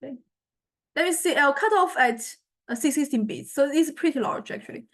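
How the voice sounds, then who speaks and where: A woman lecturer with a fairly high voice walks through a software demonstration. She talks informally and thinks aloud, often stopping and correcting herself as she explains each step. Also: animated, a little fast. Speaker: music technology lecturer.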